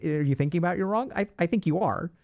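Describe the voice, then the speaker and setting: A man's voice: on the high side, fast, a little mellow. A man hosting a casual conversation, speaking directly and confidently while he thinks aloud. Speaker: host.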